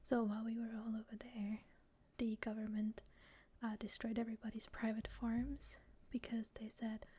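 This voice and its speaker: A woman whispers her way through a game session, breathy and hushed from start to finish. Her tone is dark and mellow despite a slightly high pitch, and she sounds shy and a bit rambling. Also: flat delivery, normal speed. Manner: soft, shy and rambling, with frequent laughs. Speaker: gamer.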